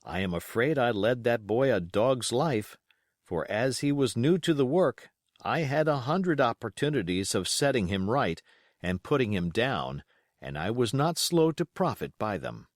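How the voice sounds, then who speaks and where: A man narrates classic adventure fiction in a middle-pitched voice. His delivery is measured and expressive, rising and falling in an animated way. The sound is dry, with no room around it. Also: no echo. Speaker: audiobook reader.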